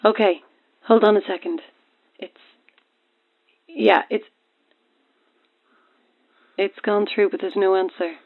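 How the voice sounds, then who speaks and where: A woman's voice: medium pitch, fairly even intonation, slow, pauses often. A woman playing an anxious radio show colleague in a horror audio drama, hesitant, in short phrases through a talkback filter. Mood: puzzled, uneasy. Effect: talkback or telephone filter. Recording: narrow, phone-like studio talkback.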